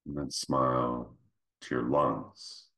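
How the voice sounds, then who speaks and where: A man leading a guided meditation, speaking gently and without hurry, in short phrases with pauses between them. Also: steady tone. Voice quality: very deep, creaky. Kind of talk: guided meditation, slow soothing instruction.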